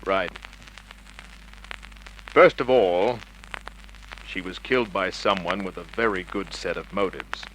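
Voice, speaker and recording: Hardboiled detective, commanding and wry, bright lowish voice, 1950s radio play with faint hiss. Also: male voice, takes it slowly, long pauses, rough recording. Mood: cool, controlled. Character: tough, cool, wisecracking male private investigator. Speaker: lead actor and narrator. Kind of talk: 1950s radio crime drama acting, hardboiled narration. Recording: old radio recording with hiss.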